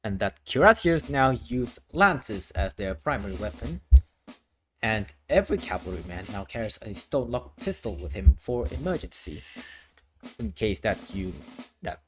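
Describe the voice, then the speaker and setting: A man's voice: fairly low pitch, big pitch swings, unhurried, fairly bright tone, slight background noise. A man from Thailand, a streamer explaining his worldbuilding lore in a casual, explanatory way, often restarting phrases.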